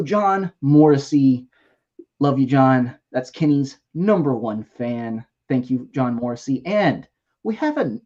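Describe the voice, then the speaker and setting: Husky-voiced man hosting a toy livestream. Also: a little high, dull audio. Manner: lively, jokey shout-outs to members. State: losing his voice. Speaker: livestream host. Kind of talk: casual livestream chat.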